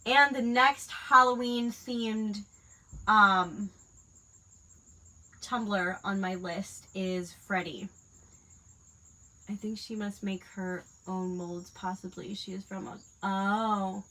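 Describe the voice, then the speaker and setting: Bright-voiced congested woman crafting. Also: mid-range voice, fairly even intonation, takes it slowly, long pauses, low-quality recording. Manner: rambling, many fillers. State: congested from a cold. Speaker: crafting livestream host. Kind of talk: casual chat while crafting.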